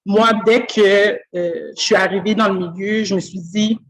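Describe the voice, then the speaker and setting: A woman from Quebec with a Québécois French accent, mid-pitched for her sex, explaining her work as a school speech therapist. She speaks thoughtfully and earnestly, often pausing on fillers and restarting her phrases. The sound comes through a video call. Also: steady tone, poor audio. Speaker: school speech-language pathologist, guest. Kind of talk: conversational interview. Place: school office.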